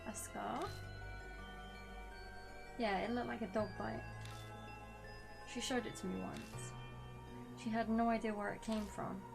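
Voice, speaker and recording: A woman's voice: medium pitch, steady tone, a little slow, vocal fry, long pauses. A woman game streamer reading a visual novel aloud, breaking off for casual commentary. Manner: casual reactions between read-out lines. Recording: music behind the voice. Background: game music.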